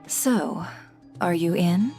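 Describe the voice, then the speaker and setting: A woman's voice: on the low side, very slow, warm tone. A woman playing a courteous, calculating guild boss in a video game, speaking in a composed, businesslike manner. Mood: shrewd, persuasive. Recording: game music behind the voice.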